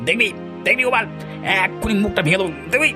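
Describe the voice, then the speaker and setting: A man's voice: high voice, fast, over music, lightly acted. A man voicing a hot-headed, fawning henchman of a village headman in a cartoon, agitated and goading. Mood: threatening. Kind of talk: cartoon dialogue.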